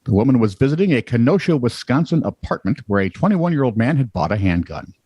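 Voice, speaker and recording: A man co-hosts a comedy news podcast with a lively, swooping voice. He reads offbeat stories and drops dry, sarcastic asides. His voice sounds close and dry, with little room sound. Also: mid-range voice, fast, breathy edge, dry recording. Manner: wry, sarcastic, lively delivery. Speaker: co-host.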